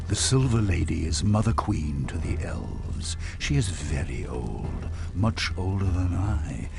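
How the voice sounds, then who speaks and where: An actor playing a very old, weary dwarf king in a video game, speaking gravely and with measured, regretful weight. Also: deep voice, heavily acted. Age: older. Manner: grave, measured, brooding, dramatic pauses. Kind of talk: dramatic video game dialogue. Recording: music under the voice.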